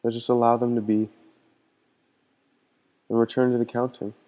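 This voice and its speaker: Male meditation guide: mellow, smooth delivery, calm and gentle instruction with unhurried pauses. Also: medium pitch, dull audio. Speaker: meditation teacher. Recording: long hall-like reverb.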